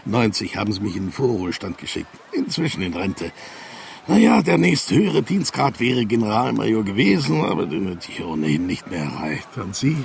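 An actor playing an older retired East German army colonel in a radio drama, jovial, nostalgic and given to exclamations. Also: male voice, fairly low pitch, sing-song, a little fast, gravelly, slight background noise.

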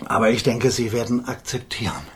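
An actor playing an older, stern and bitter idealist lawyer in a radio crime drama, speaking solemnly and deliberately. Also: male voice, medium pitch, natural voice. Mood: subdued, resolved.

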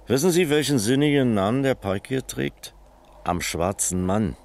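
An actor playing a composed, probing police captain, courteous and measured, in a German radio crime drama. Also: male voice, mid-range voice, animated, creaky, a little mellow, slight background noise.

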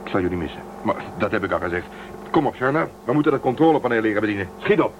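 Man playing a brisk starship crewman. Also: lowish voice, lively intonation, dull audio, a little hiss or hum, lightly acted. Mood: friendly. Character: resourceful male crewman Telsen, caring. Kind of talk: radio drama acting.

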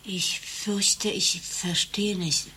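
An actress playing a polite, timid but curious new patient in a satirical radio play. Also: female voice, mid-range voice, steady tone, very slow, pushed and bright, slightly rough, heavily acted.

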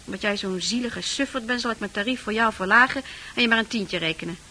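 An actress in a Dutch radio play playing a scornful, proud prostitute with a brash, mocking manner. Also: female voice, medium pitch, fast, pushed and bright, a little hiss or hum, close to an everyday voice. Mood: scornful, impatient. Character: hard, scornful prostitute, proud, wounded underneath.

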